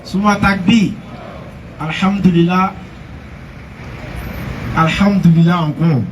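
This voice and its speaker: Male street preacher, high voice, slow and halting, distant mic, heavy traffic behind. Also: fairly even intonation, highs cut off. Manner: slow, halting exhortation with everyday examples.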